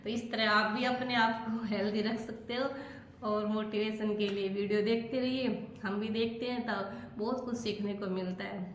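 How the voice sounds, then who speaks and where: A woman's voice: mid-range voice, steady tone, normal speed, reverberant, a little hiss or hum. A woman vlogger speaking earnestly to camera, encouraging viewers to stay healthy. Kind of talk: casual talk to camera.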